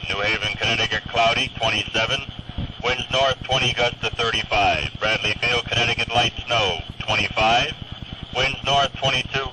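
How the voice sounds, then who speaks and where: Very noisy flat-voiced man reading weather radio. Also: medium pitch. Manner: formal, matter-of-fact. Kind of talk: scripted weather forecast reading.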